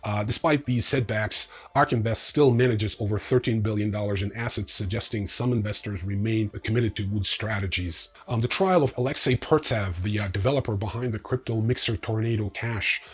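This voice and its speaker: A man's voice: fairly low pitch, fairly quick, few pauses, husky. A man hosting a news recap, reading scripted items with informal, wry asides. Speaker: crypto news show host.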